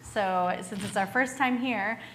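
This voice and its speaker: A woman's voice: medium pitch, a little slow, a bit bright, slight background noise. A woman from Wisconsin, a missionary, introducing herself to a church congregation in a cheerful manner and laughing often. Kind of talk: church presentation.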